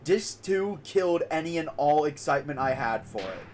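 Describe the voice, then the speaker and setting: A man's voice: on the high side, lively intonation, normal speed, a little mellow, a little hiss or hum. A man giving casual, opinionated, rambling commentary on a live stream while he plays a video game.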